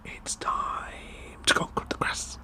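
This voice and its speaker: A man's voice: slow, bright, forceful tone. A British man voicing a bossy, brash wife who orders her husband about, all in a whisper, for comedy ASMR. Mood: scolding.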